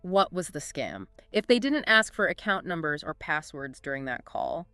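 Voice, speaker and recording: Female co-host, smooth clear voice, animated storyteller, dry close recording. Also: low voice, normal speed, dry recording, faint music behind. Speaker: podcast co-host. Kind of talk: scripted two-host discussion.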